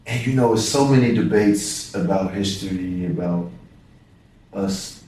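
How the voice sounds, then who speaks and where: A young American man, a basketball player, telling stories in a relaxed and reflective way. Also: low-pitched, a little slow, recorded from a distance. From United States. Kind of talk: casual interview conversation. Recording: echoey room, mic set back.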